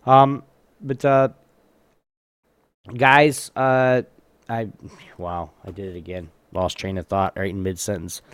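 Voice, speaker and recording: A man's voice: fairly low pitch, flat delivery, slow, long pauses, fairly bright tone, clear, resonant tone, no room sound. A man hosting a podcast in a casual, rambling, laid-back and informal way. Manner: informal, laid-back, loses train of thought. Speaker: podcast host.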